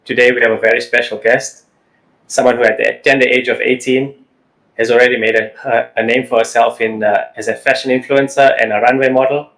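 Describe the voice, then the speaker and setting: A man hosting a wellness podcast, asking questions in a halting way, repeating words and saying "you know". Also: mid-range voice, little pitch movement, creaky, fairly bright tone, poor audio. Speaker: podcast host. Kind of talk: podcast interview.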